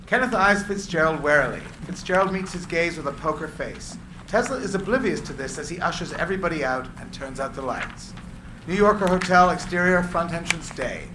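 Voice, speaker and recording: Male narrator for screenplay stage directions, fairly high register, live in a reverberant, noisy room. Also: lively intonation, brisk. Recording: echoey room with clear background noise.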